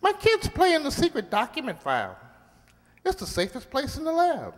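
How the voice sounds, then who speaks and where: A man's voice: extremely high pitch, wildly swooping pitch, normal speed, full character voice. A man voicing a small talking mouse, a naive, folksy family man in a radio play. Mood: indignant, candid. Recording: echoey hall with long reverb.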